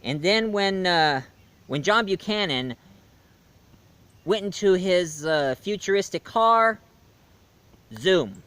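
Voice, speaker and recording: A man's voice: high voice, expressive, slow pace, long pauses, a bit bright, smooth. A man giving a casual spoken film review, chatty and jokey as he retells the plot.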